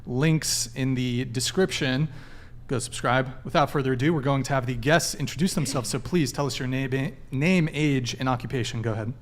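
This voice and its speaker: A man hosting a live dating podcast, running through announcements in a brisk, joking way. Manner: brisk, joking sales-pitch delivery. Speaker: podcast host.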